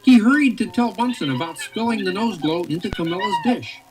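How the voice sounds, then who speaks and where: A woman reading a children's storybook aloud with expressive, animated narration. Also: mid-range voice, fairly quick, soft background music. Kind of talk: read-along story narration.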